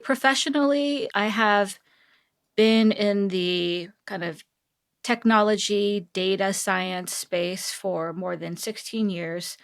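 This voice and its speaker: A woman's voice: medium pitch, steady tone, slow pace. Reflective woman from South Korea. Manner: reflective, earnest, with frequent light laughs. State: heavily pregnant. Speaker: guest, tech executive. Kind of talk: podcast interview.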